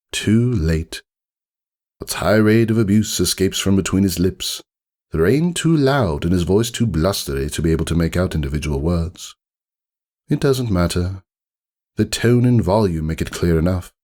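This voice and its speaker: A man's voice: low-pitched, a little mellow. A man playing a haughty, melancholy aristocratic unicorn who narrates his own story in a wordy, theatrical, ruminative storybook manner. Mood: resigned, rueful. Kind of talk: dramatic storybook reading, first-person monologue.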